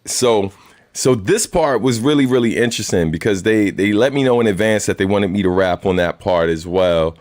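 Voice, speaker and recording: A man with a very deep voice hosting a weekly live stream, chatting casually in a laid-back, rambling way with lots of fillers. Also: normal speed, a little mellow, no echo. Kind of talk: casual livestream chat and Q&A.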